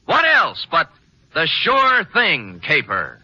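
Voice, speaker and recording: A glib, wisecracking private detective from old radio. His voice is high for a man, very sharp and swooping, on a dull vintage recording. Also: huge pitch swings, takes it slowly, soft background music, full character voice. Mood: breezy, wry. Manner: glib, sardonic. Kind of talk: 1940s radio detective acting.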